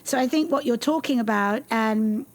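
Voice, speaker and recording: A woman hosts a podcast, interviewing a guest with open enthusiasm. Her voice sits a bit high. She chats easily and shares her own experience in film. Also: normal speed. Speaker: podcast host, filmmaker. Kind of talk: conversational interview.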